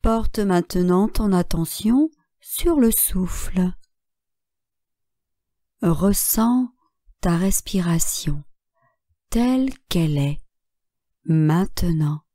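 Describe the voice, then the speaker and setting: Female meditation guide, soft-edged mellow tone, dry close sound, measured and calm delivery of short relaxation cues. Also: lowish voice, no echo. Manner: calm, measured, instructing in short phrases with long pauses. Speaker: yoga nidra instructor.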